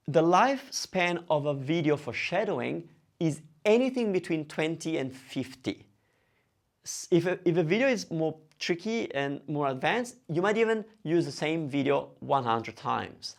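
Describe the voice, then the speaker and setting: Man teaching, slightly high creaky voice. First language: Italian. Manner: explains step by step with emphatic stresses and check-ins. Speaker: language teacher and host. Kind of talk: instructional talk to camera.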